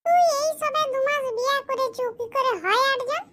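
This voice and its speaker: A performer plays an incredulous cartoon villager with a very high, squeaky voice. He keeps asking questions and doubting what he hears. A faint hiss runs underneath. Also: normal speed, sharp tone. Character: curious, skeptical village man, the friend. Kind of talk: cartoon comedy voice acting.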